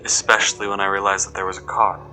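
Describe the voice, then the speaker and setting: A man playing an uneasy investigator who recounts events in measured, deliberate horror narration. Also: low voice, flat delivery, bright, forceful tone. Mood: measured unease. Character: uneasy male investigator recounting being followed. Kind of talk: scripted first-person horror narration. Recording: music behind the voice.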